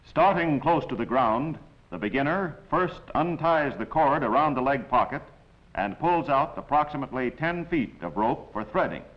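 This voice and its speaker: A man's voice: mid-range voice, lively intonation, moderate pace. Man narrating a dull-sounding old training film. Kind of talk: scripted instructional film narration.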